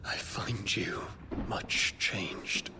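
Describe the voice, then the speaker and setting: A man's voice: lowish voice, very slow, whispered. A young man with a British accent playing a noble, earnest warrior prince in a game cutscene, formal and wounded in manner. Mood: pained, pleading. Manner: formal, intense, often hushed and pleading. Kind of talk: dramatic video game cutscene acting.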